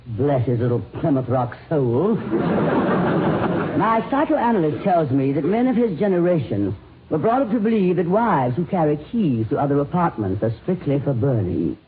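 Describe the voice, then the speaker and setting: An actress playing a catty, high-strung society woman in a 1950s radio drama, arch and biting in a theatrical way. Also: sing-song, moderate pace. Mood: sly gossip. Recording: tinny, noisy 1950s radio recording.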